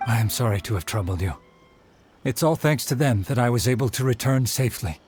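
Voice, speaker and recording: An earnest young swordsmith acted by a man in a video game. He speaks at medium pitch with humble, resolute formality, music lightly behind him. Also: lively intonation, fairly quick, darkish tone, close to an everyday voice. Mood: solemn, humble.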